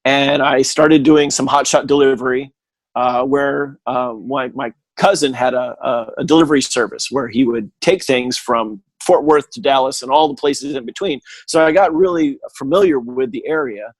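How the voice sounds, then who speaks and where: A middle-aged man telling stories in a casual chat, animated and full of jokey asides. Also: medium pitch, moderate pace, dry recording. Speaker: guest. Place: living in Texas.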